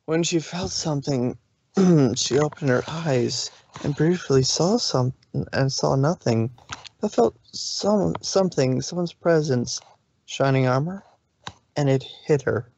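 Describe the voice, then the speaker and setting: A man narrating a fan fiction story aloud in a relaxed way, stumbling now and then. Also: a little high, slow pace, vocal fry, a little hiss or hum. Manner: relaxed reading with slips and restarts. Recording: dull dry lo-fi stream audio.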